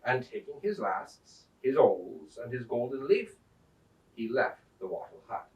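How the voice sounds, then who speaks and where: A man reads a storybook aloud with theatrical, deliberate phrasing. His medium-pitched voice rises and falls in a lively way. It is recorded at a distance from the microphone in an echoing room. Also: dull audio. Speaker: Santa storyteller reading aloud. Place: indoors at a museum. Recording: roomy echo, distant microphone.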